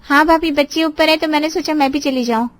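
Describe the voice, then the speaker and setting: High-voiced pampered young daughter, acted by a woman. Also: fairly even intonation, fast, dry recording, close to an everyday voice. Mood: sweet. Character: pampered young married daughter, sweet, mildly protesting. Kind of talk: dramatized family story acting.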